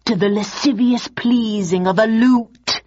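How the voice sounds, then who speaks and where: A woman voicing a cruel male stage king who declaims Shakespearean verse in a slow, drawn-out, hammy way. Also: on the low side, a bit bright, slightly rough, lo-fi sound, heavily acted. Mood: malevolent, theatrical.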